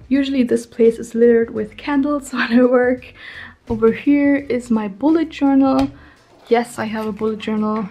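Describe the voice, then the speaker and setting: Chatty young woman with gravelly voice. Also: on the high side, dark tone. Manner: chatty, rambling, many ums and likes. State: hoarse, slightly ill. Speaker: artist vlogger. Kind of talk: casual workspace tour. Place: small room at home.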